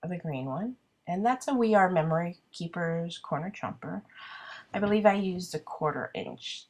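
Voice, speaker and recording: A congested woman with a cold narrating her card-making casually, thinking aloud and poking fun at her own mess. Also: lowish voice. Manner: chatty, self-deprecating, thinking aloud with many ums. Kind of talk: casual craft video narration.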